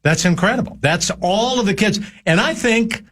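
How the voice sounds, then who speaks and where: A man in his seventies from Minnesota, a regular guest chatting on a radio show, telling stories in a halting, folksy way with many pauses. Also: high-pitched, expressive, fairly bright tone. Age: 70s. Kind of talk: casual radio chat.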